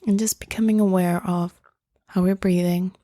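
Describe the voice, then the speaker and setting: A woman hosting a guided meditation in a soothing, gentle, unhurried manner, leaving long pauses between phrases. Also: lowish voice, steady tone, dry recording, clean studio sound.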